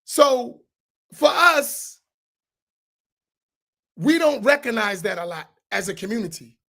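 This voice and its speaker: A man's voice: very high-pitched, very animated, slow pace, pushed and bright. A middle-aged man from the United States, an imam speaking on a roundtable panel in an emphatic, preacherly way, often checking in with the audience with rhetorical questions.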